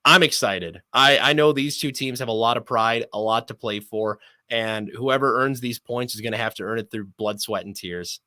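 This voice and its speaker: A man's voice: mid-range voice, normal speed, few pauses, sharp tone, no echo. A man speaking as a sports broadcaster guest in an interview, enthusiastic and wordy.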